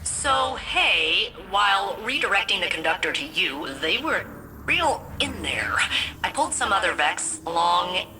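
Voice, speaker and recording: Lively, quippy spaceship AI. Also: female voice, on the low side, unhurried, noisy background. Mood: brisk, wry. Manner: quippy, informal mission briefing. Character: chatty, eager female spaceship AI guiding soldiers. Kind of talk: video game radio dialogue.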